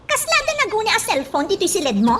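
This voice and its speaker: Very high, bossy lady. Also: huge pitch swings, quick pace, noisy background, heavily acted. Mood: suspicious. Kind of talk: radio drama acting.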